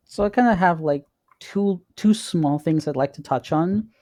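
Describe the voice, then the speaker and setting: A man co-hosting a podcast, chatting informally and haltingly, often repeating words as he goes. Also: on the high side, mellow, no room sound. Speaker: podcast co-host. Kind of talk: casual chat.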